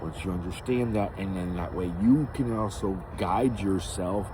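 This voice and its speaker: A man with a husky voice giving a rambling, unscripted spiritual monologue, emphatically repeating phrases for stress as he hosts. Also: low voice, normal speed, noisy background. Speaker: host of a spiritual series.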